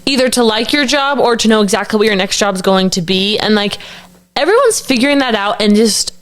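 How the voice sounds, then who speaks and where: A woman's voice: on the high side, animated, a little fast, fairly bright tone. A woman in her thirties co-hosting a podcast, talking in a reflective, earnest way with many fillers. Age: 30s. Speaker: podcast co-host. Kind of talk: casual chat.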